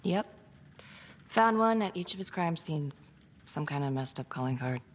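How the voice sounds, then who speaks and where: An actress playing a weary, hard-bitten police officer in a crime drama game, dry, clipped and blunt, with a gravelly edge. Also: female voice, sits low, lively intonation, moderate pace, a little hiss or hum. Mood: dry, grim. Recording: music behind the voice.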